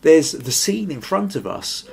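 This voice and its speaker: Gravelly older man hosting a photo podcast. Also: on the high side, sing-song, measured pace, dark tone. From Scotland. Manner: thoughtful, rambling, reads viewer comments aloud. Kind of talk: casual live-stream chat.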